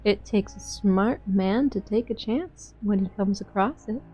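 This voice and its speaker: A woman's voice: mid-range voice, measured pace, mellow, slightly rough, highs cut off, faint music behind. A woman playing a cool, observant consultant with an understated delivery, in a fan-dubbed game drama. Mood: cool, wry. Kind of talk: scripted fan-dub game drama acting.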